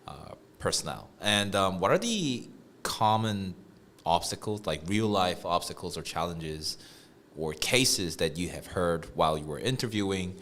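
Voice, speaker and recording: A man's voice: low-pitched, animated, measured pace, fairly bright tone, slight background noise. A man from Korea hosting a conversational interview, asking questions with searching phrasing and many fillers. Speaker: host.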